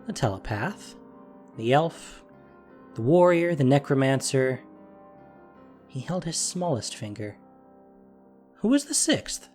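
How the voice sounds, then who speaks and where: A man narrating a scripted fantasy story in an expressive, dramatic way. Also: mid-range voice, slow pace, long pauses, soft background music.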